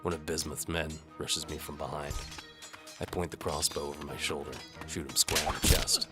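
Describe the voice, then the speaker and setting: A man's voice: rumbling low, steady tone, moderate pace. A man narrating an audio adventure in the first person as its hero, with a wry, hard-boiled, dramatic delivery. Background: music under the voice.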